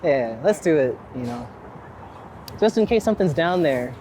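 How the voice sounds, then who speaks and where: A man's voice: on the high side, expressive, moderate pace, warm tone. Rambling man chatting on a noisy street. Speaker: street photographer being interviewed. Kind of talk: casual conversation while out walking. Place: outdoors on a busy city street. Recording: outdoor city street noise, traffic.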